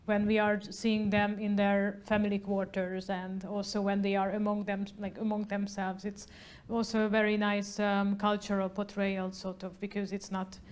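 A young woman interviewing a guest in a small indoor studio on a lapel mic, chatty with many fillers, trailing off softly at the ends of her lines. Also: medium pitch, monotone, moderate pace. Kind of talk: festival interview.

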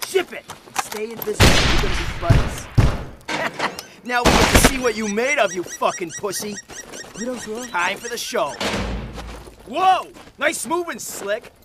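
High, shouting American soldier. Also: male voice, animated, unhurried, long pauses. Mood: taunting. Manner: shouting, crude, mocking slang. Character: brash, foul-mouthed, taunting American soldier in combat. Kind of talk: video game combat acting. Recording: loud gunfire and battle noise behind.

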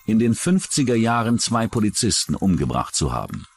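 Deep-voiced male true-crime narrator. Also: big pitch swings, moderate pace. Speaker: documentary narrator.

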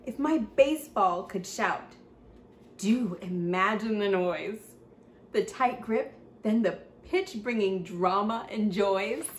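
A young adult woman hosting a children's read-aloud, reading picture-book text in an expressive sing-song way with drawn-out emphasis. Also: a little high. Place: small indoor room with bookshelf. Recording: roomy echo, faint background noise.

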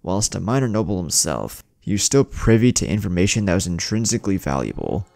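A man's voice: low-pitched, big pitch swings, fairly quick, vocal fry, darkish tone, dry recording, clean studio sound. A man narrating a scripted fan fiction story in a dramatic storytelling manner.

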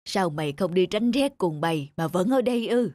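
A woman playing a kindly older peasant wife in a cartoon, speaking in a caring, expressive way. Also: fairly low pitch, a little fast, natural voice. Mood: concerned. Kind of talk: Vietnamese cartoon dubbing. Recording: loud music under the voice.

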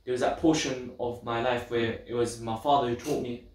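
A man's voice: mid-range voice, steady tone, brisk, a little mellow, slight background noise. A young man from Pakistan, a podcast guest, explaining earnestly how he memorized the Quran. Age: young adult. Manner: earnest, explanatory, gestures while talking. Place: room with bookshelves, indoors. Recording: echoey room, mic at a distance.

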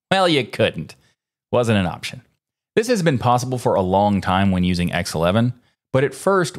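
Low-pitched man, conversational tech host. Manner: conversational, with chatty personal asides. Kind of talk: casual scripted tech explainer to camera. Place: small indoor home studio. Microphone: close broadcast mic on boom arm.

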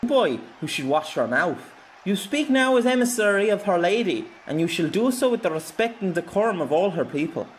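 Man acting a jovial, taunting swordmaster, pitched up. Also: sing-song, moderate pace, slight background noise. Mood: stern. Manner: playful, teasing.

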